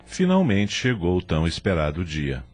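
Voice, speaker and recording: Casting: Brazilian male narrator, bass register, expressive swings, scripted drama storytelling over faint music. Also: moderate pace.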